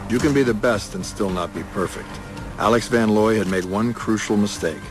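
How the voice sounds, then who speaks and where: Police detective male actor: lowish, terse, matter-of-fact crime-drama delivery over music. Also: normal speed, lightly acted. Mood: measured. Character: serious, terse male police detective, narrating his case.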